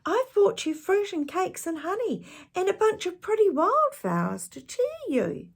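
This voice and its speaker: A woman voicing a small, eager, polite young girl in a storybook read-aloud, with a high, breathy girlish voice. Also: sing-song, unhurried, full character voice. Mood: earnest.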